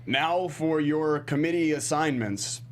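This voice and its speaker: A man's voice: on the high side, a little slow, a little hiss or hum, close to an everyday voice. A man playing a painfully genuine old man, speaking formally and earnestly in a comedy table read.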